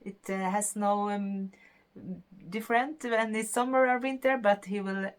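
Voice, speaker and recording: Podcast host: Norwegian woman, non-native English, unhurried and halting, often hunting for words. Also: medium pitch, fairly even intonation. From Norway. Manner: halting, searching for English words with many fillers. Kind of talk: casual podcast monologue.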